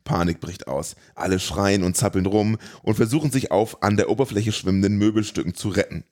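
A man's voice: fairly low pitch, a little fast, darkish tone. A man reading out a scripted, comedic plot recap of a film.

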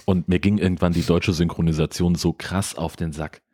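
Deep-voiced man in casual conversation. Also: steady tone, brisk, warm tone, slightly rough. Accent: German. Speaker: podcast host. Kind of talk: casual chat, asking and telling anecdotes.

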